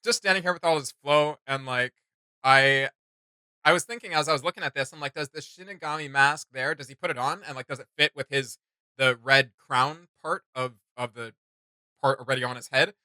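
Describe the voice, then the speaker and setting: A man's voice: mid-range voice, brisk, bright, forceful tone, clean tone, no room sound. A man chatting casually and enthusiastically as a reaction host, rambling and slangy. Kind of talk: casual enthusiastic fan chat.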